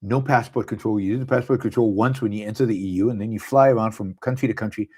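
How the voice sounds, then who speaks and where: A middle-aged man with an Israeli accent, hosting a live show in a casual, halting monologue with many fillers and restarts. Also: lowish voice, mellow, breathy edge. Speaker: podcast host. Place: abroad, speaking on a live stream.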